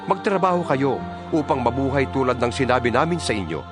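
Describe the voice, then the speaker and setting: A man's voice: mid-range voice, lively intonation, brisk, over music, lightly acted. A man playing an earnest, fatherly apostle exhorting his flock in a dramatized Bible reading. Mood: solemn, exhorting.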